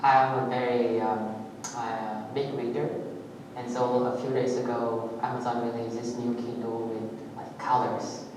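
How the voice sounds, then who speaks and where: A man's voice: mid-range voice, little pitch movement. Vietnamese man speaking in an echoey hall. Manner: halting, many fillers and restarts. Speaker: meetup speaker. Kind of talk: technical presentation with live demo. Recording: echoey hall, voice far from mic, audible noise.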